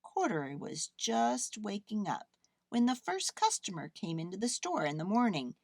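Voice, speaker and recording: A woman narrating a children's storybook as an expressive storyteller. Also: medium pitch, normal speed, gravelly, dry recording, studio quality.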